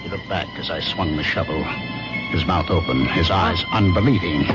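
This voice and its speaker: A deep male voice narrates a radio thriller as a haunted, cold-blooded killer. He speaks in measured, clipped fragments. Loud music plays beneath the dull vintage recording. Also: wildly swooping pitch. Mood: grim. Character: haunted, confused man turned killer, coldly recounting his crime. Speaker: lead actor and narrator. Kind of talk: radio drama first-person narration. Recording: muffled old radio drama recording, music behind.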